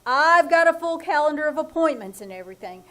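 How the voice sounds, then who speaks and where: A deadpan, long-suffering businessman husband from a 1940s radio comedy, as a woman plays him on a live stage. The voice sits high and delivers every sarcastic wisecrack flatly and dryly. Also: fairly quick. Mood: teasing. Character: dry, put-upon middle-aged businessman husband. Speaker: lead in a radio play.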